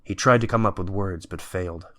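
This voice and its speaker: A man's voice: sits low, a little fast, a bit bright. A man narrating a scripted dramatic story as the narrator of an audio drama.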